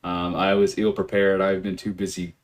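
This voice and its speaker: A man hosting a casual podcast, talking loudly and loosely with plenty of swearing and laughing. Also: low voice, little pitch movement, moderate pace. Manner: loose, profane and giggly, with frequent restarts; speaks loudly into the mic. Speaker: podcast host. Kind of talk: casual chat.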